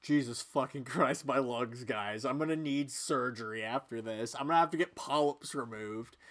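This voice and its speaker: A man reading video game dialogue aloud in a casual, expressive way. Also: medium pitch, moderate pace. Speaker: game player voicing characters.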